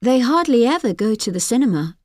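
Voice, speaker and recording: A woman's voice: on the high side, very animated, a little fast, a little mellow, dry recording, studio quality. A woman reading example sentences for language learners, each one carefully enunciated. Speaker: course audio speaker. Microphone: dry studio mic.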